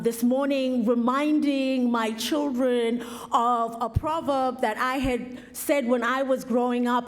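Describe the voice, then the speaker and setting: A woman priest from South Africa giving a reflective address, telling stories in a slow, thoughtful way. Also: a little high. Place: large hall. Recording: roomy hall reverb.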